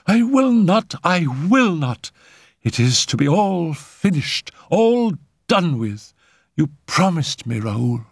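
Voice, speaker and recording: A man's voice: mid-range voice, expressive, slow, gravelly, a little mellow, husky, no room sound, studio quality, voice pushed up, heavily acted. A man voicing an exhausted, anxious woman who pleads with agitated, emphatic repetition in a dramatic story reading. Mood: agitated, defiant. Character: anxious, exhausted, high-strung Frenchwoman, a spirit medium.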